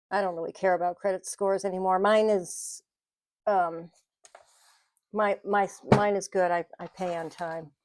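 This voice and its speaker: A woman's voice: fairly low pitch, a little mellow, no echo. A middle-aged woman talking casually to camera in a vlog, rambling and correcting herself, with frequent small laughs. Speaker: vlogger. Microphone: mic on the desk, bumped at times.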